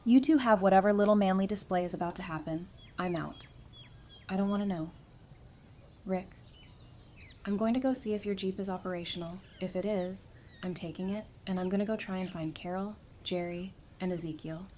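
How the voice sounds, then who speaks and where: A woman's voice: medium pitch, fairly quick, a little mellow, a little hiss or hum, lightly acted. An actress playing a blunt, weary woman survivor of a zombie apocalypse in an audio drama, with an exasperated, direct manner.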